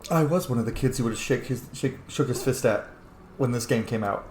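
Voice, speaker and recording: A man's voice: mid-range voice, a little fast, a little mellow, echoey room, slight background noise. A man giving casual, informal playthrough commentary while role-playing a video game, reacting and swearing freely.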